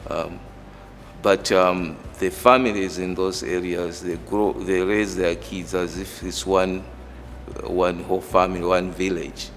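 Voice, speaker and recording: A middle-aged man with a Malawian accent, a professor explaining work and background in a measured, halting way. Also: low-pitched, level tone. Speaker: engineering professor. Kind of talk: interview monologue explaining own work and background. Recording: reverberant hall, music underneath. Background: background music.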